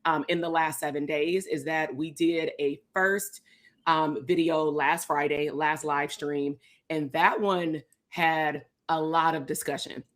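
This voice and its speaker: A woman, hosting a live stream chat with a hoarse, raspy voice, talking casually to viewers. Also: low-pitched, measured pace. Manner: relaxed, talks straight to viewers as y'all. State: hoarse, losing her voice. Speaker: psychologist and host.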